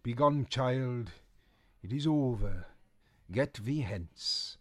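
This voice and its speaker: A man reading aloud and voicing a cranky, bossy old woman seer, high and theatrical. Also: animated, slow pace, fairly bright tone. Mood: scornful.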